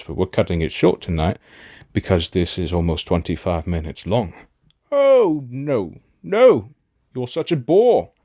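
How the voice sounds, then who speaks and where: A man playing a sassy, self-absorbed fantasy villain in an audio drama, with a theatrical, drawling and melodramatic delivery. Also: mid-range voice. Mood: petulant, exasperated. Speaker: fan audio drama voice actor and narrator. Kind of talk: theatrical in-character outtakes.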